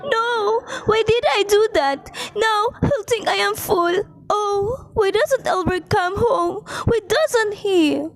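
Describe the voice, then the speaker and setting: A woman's voice: extremely high pitch, slow, hardly any pauses, voice pushed up, full character voice. A woman voicing a nervous, demanding, self-pitying invalid wife in an amateur radio play, fretful and imperious. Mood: distressed.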